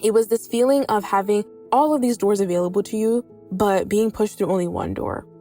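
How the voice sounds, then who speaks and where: A woman's voice: medium pitch, a little mellow. A young woman from New York, a content writer, reading an earnest personal reflection. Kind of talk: personal reflection read aloud.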